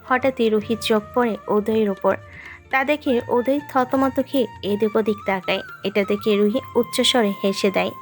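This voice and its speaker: Woman narrating romance, slightly high voice. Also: normal speed. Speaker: story narrator. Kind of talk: scripted narration of a romantic story. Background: background music.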